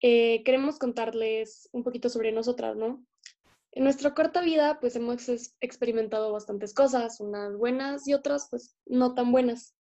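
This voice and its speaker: A young woman from Colombia talks through a casual podcast in an earnest, filler-heavy way. Her voice sits a little high with a soft, mellow tone. It sounds like a remote call recording. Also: fairly even intonation, moderate pace, dull audio. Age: young adult. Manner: chatty, earnest, with many fillers. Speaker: podcast co-host.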